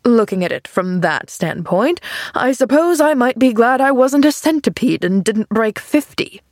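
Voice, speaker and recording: A woman voicing a grumpy, bitter man in an audiobook, speaking in a gruff, testy and sarcastic way. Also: on the high side, lively intonation, normal speed, darkish tone, dry recording, clean studio sound, pitched above the performer's own voice. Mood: dry sarcasm. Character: grumpy, sardonic, bedridden man with a bitter temper. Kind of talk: audiobook character dialogue.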